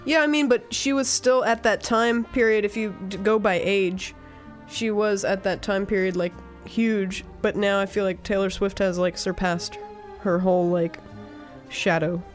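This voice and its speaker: A woman's voice: medium pitch, fairly even intonation, unhurried. Chatty teasing woman co-host. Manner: chatty, teasing, full of pop-music trivia. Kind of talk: casual chat.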